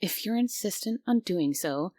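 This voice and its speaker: A woman's voice: mid-range voice, animated, moderate pace, warm tone, no echo, lightly acted. A woman playing a strict, stubborn guardian angel who is bossy, prim and grudgingly caring, in an immersive roleplay audio drama. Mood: stubborn, flustered. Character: strict, stubborn but secretly kind female guardian angel.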